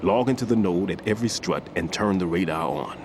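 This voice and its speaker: Seasoned bomb expert, low voice, precise, somber, wary, video game drama, noisy background. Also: male voice, expressive, brisk. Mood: methodical, grave. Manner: precise, didactic, grave. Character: veteran male bomb disposal expert, methodical, wary, somber. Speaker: demolition expert giving instructions. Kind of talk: video game cutscene acting.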